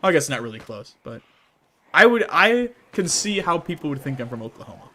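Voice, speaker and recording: A man's voice: on the high side, sing-song, brisk, pushed and bright. Laid-back Midwestern man chatting on stream. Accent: Midwestern American. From Midwestern United States. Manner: reads chat messages aloud and answers them, laughing often. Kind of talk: casual livestream chat while gaming.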